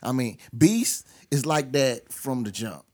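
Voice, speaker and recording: A man's voice: mid-range voice, lively intonation, takes it slowly, a little mellow. A man on a podcast panel chatting casually about a film in short, informal, emphatic phrases. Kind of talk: casual film review discussion.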